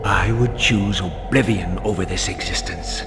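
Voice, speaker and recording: Video game wraith, male: embittered fallen vampire noble, low-pitched, measured, solemn and theatrical. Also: gravelly, music in the background. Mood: anguished, bitter. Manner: formal, grave, theatrical narration. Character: proud, bitter male vampire lieutenant turned tormented wraith. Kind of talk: dramatic video game voice acting.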